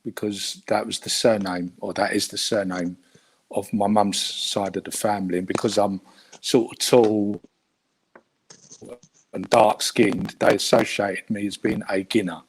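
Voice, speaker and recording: A man's voice: lowish voice, fairly even intonation, unhurried, slight background noise, low-quality recording. A man with a Cockney accent from England, chatting as a guest on a video call, hesitant with many fillers and restarts. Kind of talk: casual video call chat.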